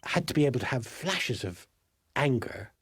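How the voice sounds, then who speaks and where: Drama producer, male, mid-range husky voice, interviewed in a dry space, explaining. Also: mid-range voice, expressive, normal speed, a bit bright, no echo.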